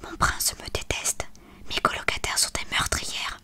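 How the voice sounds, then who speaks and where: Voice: measured pace, bright, forceful tone. A storyteller whispering the lines of a vain, dramatic teenage girl in a storybook reading. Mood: whiny.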